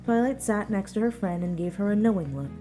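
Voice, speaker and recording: A woman's voice: mid-range voice, animated, brisk, mellow, soft background music. A woman reading a story aloud as narrator in a scripted narration style. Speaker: narrator, fan fiction reader.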